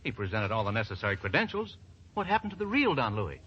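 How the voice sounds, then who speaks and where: An actor playing an earnest, excitable man in a 1940s radio drama. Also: on the low side, fast. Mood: bewildered. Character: excitable, earnest man newly cleared of suspicion. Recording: muffled 1940s radio recording, faint noise.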